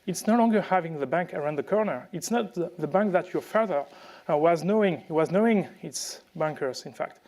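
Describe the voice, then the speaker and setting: A man from France with a French accent, giving a conference presentation in a halting way with many fillers and restarts. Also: on the high side, animated, darkish tone. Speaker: conference speaker.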